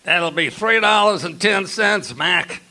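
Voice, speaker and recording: An actor playing a sour, surly city cab driver in a comic radio drama. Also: male voice, high-pitched, slow pace, background noise.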